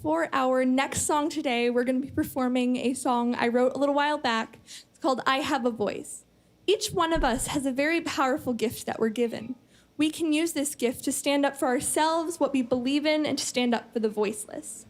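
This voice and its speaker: A woman singer introducing her song on stage at a live show, speaking in an earnest, quick, heartfelt way. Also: high voice. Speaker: singer in a competing band. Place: live studio event venue. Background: loud band music.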